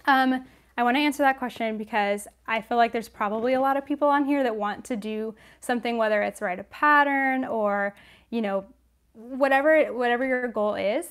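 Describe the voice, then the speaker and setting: A woman's voice: on the high side, normal speed. A young woman hosting a casual livestream Q&A, chatty and encouraging, with a sniffly nose, on a lapel mic in a small room at home. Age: young adult. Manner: chatty, encouraging, many ums and likes. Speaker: knitting channel host.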